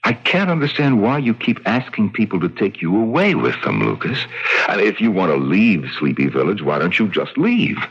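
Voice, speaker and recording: Low-voiced, impatient, stubborn visitor. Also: male voice, wildly swooping pitch, moderate pace, a bit bright, slightly rough, exaggerated voice. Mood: puzzled. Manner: insistent, questioning, emphatic. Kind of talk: 1970s radio drama acting. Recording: muffled old radio broadcast.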